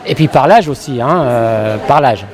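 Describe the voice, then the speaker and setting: A man's voice: medium pitch, expressive, unhurried. Chatty man in his sixties, crowd behind. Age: 60s. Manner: chatty, repeats words while searching. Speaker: fan at a convention. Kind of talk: casual interview. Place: busy convention floor.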